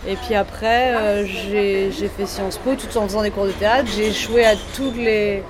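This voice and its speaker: A woman from France, a comedian answering interview questions in a dry, measured way that trails off quietly. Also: medium pitch, steady tone. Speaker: comedian guest. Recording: outdoor interview with loud crowd behind.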